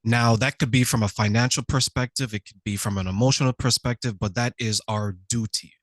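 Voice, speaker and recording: A man from Quebec, Canada, answering as a relationship coach in a conversational podcast interview, explaining things in a reflective, measured way. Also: medium pitch, fairly even intonation, no room sound, clean studio sound.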